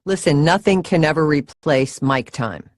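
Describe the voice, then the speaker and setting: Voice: mid-range voice, expressive, normal speed, no echo, clean studio sound. A voiceover coach giving casual, earnest advice, leaning on key words for emphasis.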